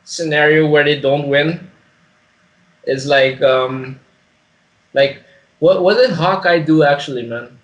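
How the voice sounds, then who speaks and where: Higher-voiced man, slangy and sweary. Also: muffled recording. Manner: sweary, slangy, halting with repeated phrases. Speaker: podcast co-host. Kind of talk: casual film discussion.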